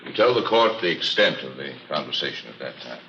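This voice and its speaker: An actor playing a formal, methodical prosecutor questioning a witness in a radio courtroom drama. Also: male voice, extremely low pitch, big pitch swings, a little fast, creaky, pushed and bright, exaggerated voice. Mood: formal, probing. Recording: dull, faintly noisy old radio recording.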